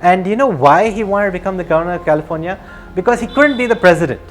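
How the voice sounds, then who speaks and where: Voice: mid-range voice, expressive, quick pace, soft background music. A video narrator telling a life story off the cuff, hesitant and rambling, often restarting and repeating phrases. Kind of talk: casual unscripted storytelling.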